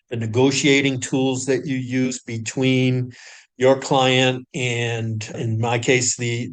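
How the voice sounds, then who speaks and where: A middle-aged man as a podcast guest, speaking reflectively and hesitantly, with pauses and restarts as he recounts his career. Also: medium pitch. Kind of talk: reflective conversational storytelling.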